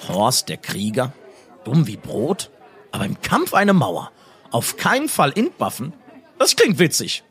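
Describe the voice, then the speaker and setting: An actor playing a young gamer who becomes a dim but strong warrior in an amateur comedy audio drama. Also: male voice, mid-range voice, huge pitch swings, a little slow, a bit bright, breathy edge, heavily acted. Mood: eager.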